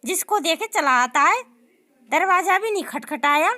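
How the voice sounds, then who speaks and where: A woman's voice: extremely high pitch, expressive, bright, forceful tone, heavily acted. A woman voicing a greedy, quarrelsome new bride who nags and complains in a comic sketch. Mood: nagging. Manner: nagging, dramatic, quick to complain.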